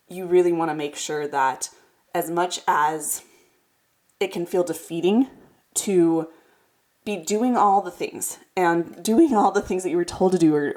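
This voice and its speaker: A woman's voice: on the low side, a little mellow. A woman hosting a card reading, speaking casually and directly to the viewer in a reassuring, encouraging way, slightly congested from a cold. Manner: reassuring, encouraging, emphatic repetition. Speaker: host of tarot card readings.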